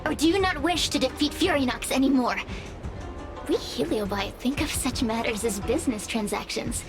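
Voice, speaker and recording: A woman voicing a calculating, ruthless spirit in a video game, speaking in a cold, insinuating, measured way. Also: high-pitched, natural voice. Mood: cold, persuasive. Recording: game music behind the voice.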